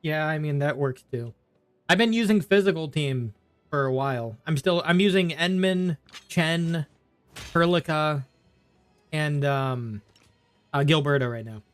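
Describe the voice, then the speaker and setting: A man's voice: a little high, measured pace, smooth, no echo, soft background music. A man chatting casually on a game livestream, rambling and thinking aloud as he plays. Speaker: game streamer. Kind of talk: casual livestream chat while gaming.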